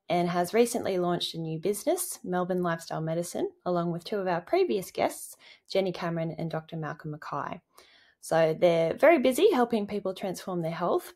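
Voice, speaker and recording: A woman's voice: fairly low pitch, a little mellow. A woman speaking as a podcast co-host, introducing and questioning a guest.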